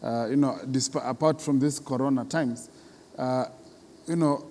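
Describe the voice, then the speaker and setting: A man with a Kenyan accent interviewing conversationally, feeling his way haltingly into his questions. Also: medium pitch, fairly even intonation, dark tone. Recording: reverberant hall with background noise.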